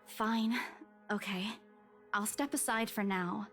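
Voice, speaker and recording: A woman's voice: medium pitch, slow, faint music behind. An actress playing a flustered young woman in a visual novel, apologetic yet stubborn, with a hesitant, emotive delivery. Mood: resigned. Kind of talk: dramatic game voice acting.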